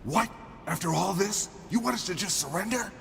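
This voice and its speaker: An actor playing a cocky, wisecracking adventurer hero with an American accent and a defiant, dry manner in a video game. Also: male voice, sits high, lively intonation, moderate pace, creaky. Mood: indignant. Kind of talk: video game cutscene acting. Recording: loud music behind.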